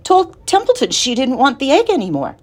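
A woman's voice: mid-range voice, sing-song, moderate pace, husky. A woman voicing an earnest, chatty young farm girl in a storybook, innocent and matter-of-fact.

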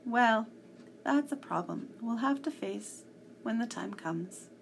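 A woman voicing a tall, smooth male henchman in a storybook, breezy and mock-polite with casual menace. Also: fairly high pitch, expressive, takes it slowly, a little hiss or hum, exaggerated voice.

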